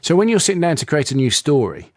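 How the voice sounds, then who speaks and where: A man's voice: on the high side, big pitch swings, fast, darkish tone, dry recording, clean studio sound. Chatty male radio interviewer. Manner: chatty, asks questions with tag phrases. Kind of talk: interview host.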